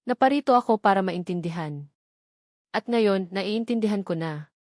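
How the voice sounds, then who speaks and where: Audio drama role: remorseful CEO son played by a female narrator, gentle, weighty, earnest delivery, close dry sound. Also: mid-range voice, animated, moderate pace, no room sound, close to an everyday voice. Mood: calm, compassionate.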